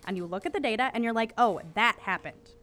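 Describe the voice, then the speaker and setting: A woman's voice: a little high, quick pace, fairly bright tone, natural voice. A woman playing a bookish, curious teenage investigator in an audio drama, precise, wry and matter-of-fact. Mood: analytical. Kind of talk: naturalistic audio drama acting.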